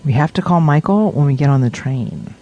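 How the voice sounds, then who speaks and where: Low, dry, level-headed woman, an actress in role. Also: lively intonation, a little fast, mellow, lo-fi sound. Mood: matter-of-fact. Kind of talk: audio drama dialogue.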